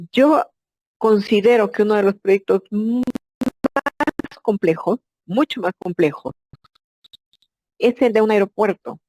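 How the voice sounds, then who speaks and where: A woman's voice: medium pitch, darkish tone, no room sound, low-quality recording. A woman, an architect, giving an explanatory masterclass lecture and asking herself rhetorical questions. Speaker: architect and instructor.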